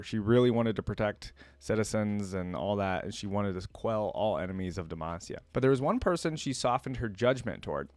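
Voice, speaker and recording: A man hosting a reaction video, casually reading stories aloud and commenting on them as he thinks out loud. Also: lowish voice, no echo.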